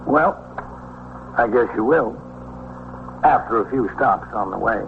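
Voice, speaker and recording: Blunt police officer, thin old recording. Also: male voice, medium pitch, a little slow, creaky. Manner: terse, probing, matter-of-fact. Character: blunt, steady male police officer questioning suspect. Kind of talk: 1950s radio drama acting. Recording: thin, phone-like, noisy old radio recording.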